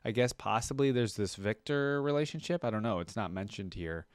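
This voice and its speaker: A man hosting a fan reaction video, reading aloud and thinking out loud in a casual, conversational way with asides. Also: fairly low pitch, lively intonation, brisk, no room sound.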